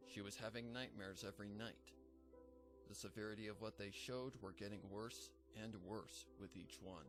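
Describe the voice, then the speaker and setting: A man narrating a fanfic as a measured, somber scripted reading. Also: on the low side, steady tone, music in the background.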